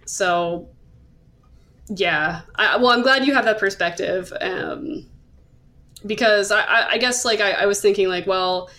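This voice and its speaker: A woman's voice: mid-range voice, measured pace, sharp tone. A woman hosting a podcast, talking casually about comics in a hesitant, self-qualifying way. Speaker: podcast host. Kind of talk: casual discussion of manga.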